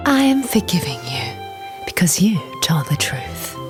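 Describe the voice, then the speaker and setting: A woman voicing a stern but forgiving magical fairy in a children's storybook, in a breathy, whispery voice. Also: fairly low pitch, sing-song, unhurried, music in the background.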